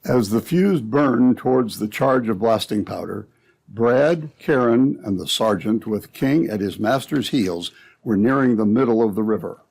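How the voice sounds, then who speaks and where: A man's voice: lowish voice, expressive, measured pace, creaky, a little mellow, husky, low-quality recording. A man narrating an old-time radio adventure from a script, as the storyteller between scenes.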